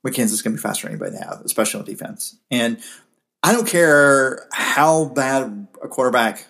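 A Southern-accented man co-hosting a relaxed sports chat at an ordinary pitch, circling his points and often starting sentences over. He talks loosely and conversationally, drifting from one opinion to the next. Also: brisk, creaky. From South Georgia. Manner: rambling, frequent restarts. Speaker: co-host. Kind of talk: casual sports talk.

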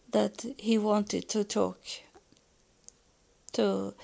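A woman from Sweden with a Swedish accent, speaking English as a second language in a halting spiritual podcast monologue, searching for words. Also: medium pitch, creaky, a little mellow. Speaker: podcast host relaying spiritual messages.

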